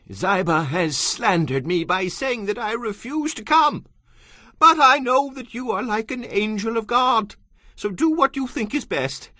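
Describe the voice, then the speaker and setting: A man's voice: high voice, bright, forceful tone, no echo, heavily acted. An actor playing a wronged, deferential man pleading earnestly before his king in a dramatized scripture reading.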